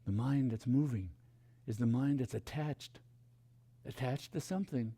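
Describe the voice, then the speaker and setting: Male spiritual teacher, contemplative reading and teaching. Husky, creaky voice, hushed delivery, deliberate pauses. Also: mid-range voice. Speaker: meditation teacher.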